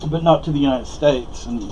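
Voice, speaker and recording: A man's voice: a little high, rough recording. A man from Spain, a native Spanish speaker, giving a rambling, opinionated monologue with many fillers and restarts. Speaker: vlogger.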